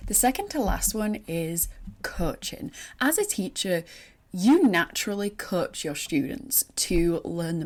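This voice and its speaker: A young woman with a Yorkshire accent talks in a chatty, encouraging way, coaching teachers and often restarting her phrases with fillers. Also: fairly low pitch. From northern England. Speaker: online business coach for teachers. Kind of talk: solo talk to camera.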